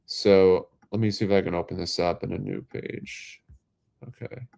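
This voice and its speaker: A man, congested and a little nasal from a head cold, chatting casually and haltingly on his livestream, full of fillers and restarts. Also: sits low, fairly even intonation, vocal fry, darkish tone. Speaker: livestream host.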